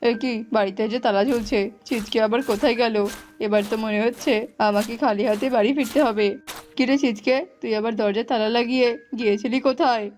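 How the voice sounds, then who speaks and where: A woman's voice: a little high, steady tone, brisk, darkish tone, close to an everyday voice. A woman voicing a poor, sorrowful, tender jackal father with a plaintive, exclamatory cartoon delivery. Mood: earnest. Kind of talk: cartoon voice acting. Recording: muffled, music underneath.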